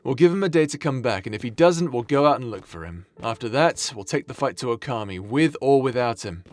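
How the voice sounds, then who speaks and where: A man playing a seasoned, caring and wry adventurer in an ASMR audio roleplay, speaking softly in a measured, gently theatrical way. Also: medium pitch, animated, dry recording, natural voice. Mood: resolute. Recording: music under the voice.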